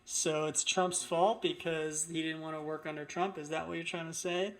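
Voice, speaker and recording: Male political commentator, higher-than-average voice, indignant and emphatic, unscripted opinion delivery. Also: little pitch movement, normal speed, fairly bright tone. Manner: indignant, emphatic, repetitive phrasing.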